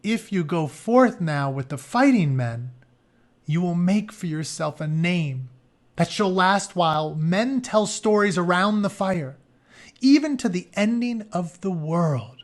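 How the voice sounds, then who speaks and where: A man's voice: high-pitched, expressive, unhurried. A man voicing a sorrowful, loving mother in a storybook myth, solemn and pleading. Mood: solemn foretelling.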